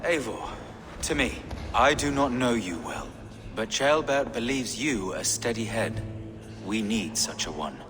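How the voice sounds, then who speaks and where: A genteel churchman keeping peace in a historical game. He is formal and animated, his voice reverberating through a hall. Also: medium pitch, a little slow, over music. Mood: earnest. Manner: polite, formal, placating. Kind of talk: dramatic video game acting. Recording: long hall reverb, noisy ambience.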